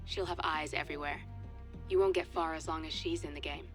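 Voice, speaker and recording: A woman's voice: low-pitched, steady tone, a little fast, a bit bright, faint music behind. An actress playing a composed female intelligence contact in a cinematic game, briefing in a matter-of-fact, controlled way. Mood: businesslike.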